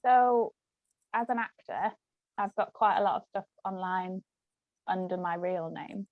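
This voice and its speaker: A woman's voice: mid-range voice, unhurried, no room sound, narrow, tinny audio. A woman chatting casually as an author and actor guest in an interview. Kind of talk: casual chat.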